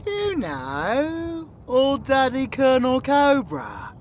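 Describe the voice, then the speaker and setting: Cocky British male supervillain, played by a man: foul-mouthed, taunting, slangy, highly animated pitch for audio roleplay. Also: takes it slowly, a little hiss or hum, heavily acted. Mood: mocking taunts. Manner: sweary, mocking, slangy.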